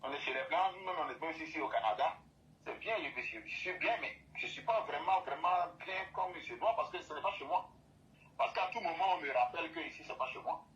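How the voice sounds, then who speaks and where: Emphatic man, bright tone. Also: fairly high pitch, lively intonation, a little fast, dull audio. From Côte d'Ivoire. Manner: emphatic, repeats and restates phrases. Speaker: guest speaker. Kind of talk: impassioned opinion talk.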